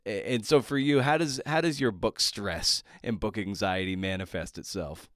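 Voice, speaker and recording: A man hosting an interview podcast, asking long conversational questions in a halting way, repeating words as he goes. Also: on the low side, fairly bright tone, no echo, studio quality. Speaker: podcast host interviewing an author.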